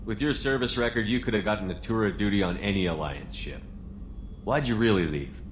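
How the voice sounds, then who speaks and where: Stoic commander for a game, male actor: fairly low, straightforward delivery, roomy echo. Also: a little fast, close to an everyday voice. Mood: friendly, sincere. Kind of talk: video game cutscene acting. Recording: roomy echo, faint ambient noise.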